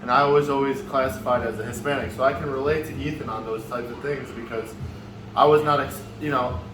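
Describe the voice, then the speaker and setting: A man from the Chicago suburbs joins the conversation, eager and tripping over his words as he restarts sentences. He sounds set back from the mic in an echoey space. Clear background noise sits behind him. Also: medium pitch, fairly even intonation, a little fast, recorded from a distance. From Chicago suburbs, United States. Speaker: podcast guest. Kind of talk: casual conversation.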